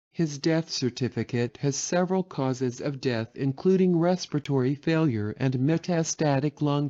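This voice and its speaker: A man reading a scripted documentary narration in an even, measured way. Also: a little high, dull audio.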